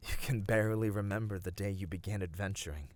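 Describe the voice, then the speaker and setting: A man playing a gentle, devoted male battle dancer of a fantasy race in an ASMR roleplay, speaking earnestly and soothingly with dramatic pauses. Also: sits low, natural voice. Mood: warm reminiscence. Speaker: voice actor.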